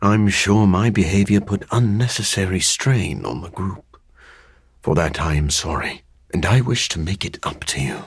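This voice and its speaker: A brooding scientist vampire from a video game, courteous and dryly witty. His husky voice swings expressively between measured, formal phrases. The sound is close and dry. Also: deep voice, expressive, dry recording, heavily acted. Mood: grave, regretful. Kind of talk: video game character voice acting.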